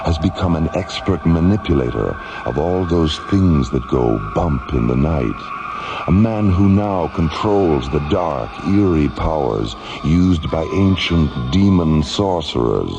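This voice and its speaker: Sci-fi radio narrator: grave, gravelly, dramatically varied in pitch. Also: male voice, lively intonation, takes it slowly, highs cut off, exaggerated voice. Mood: grave, dramatic. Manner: solemn, dramatic delivery. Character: grave documentary-style narrator of a space drama. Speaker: announcer and narrator. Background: loud music and effects.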